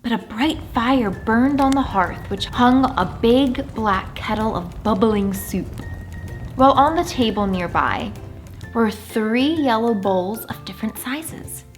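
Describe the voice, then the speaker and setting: Expressive woman reading a children's story over music. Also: a little high. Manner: animated, deliberate storytelling with drawn-out emphasis. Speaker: children's story reader and channel host.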